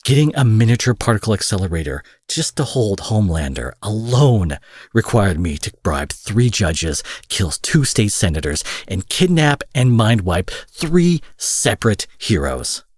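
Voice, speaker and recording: A man's voice: lowish voice, sing-song, measured pace, darkish tone, breathy edge, no room sound, studio quality, heavily acted. A man playing an angry, menacing supervillain in an audio drama, profane, sarcastic and theatrical, taunting with mock affection. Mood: smug, taunting. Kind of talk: roleplay audio drama monologue.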